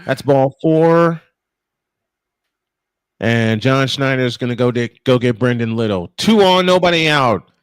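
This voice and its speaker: Lively male baseball announcer, dry close sound. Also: mid-range voice, measured pace, long pauses, smooth, dry recording. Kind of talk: live sports commentary with anecdotes.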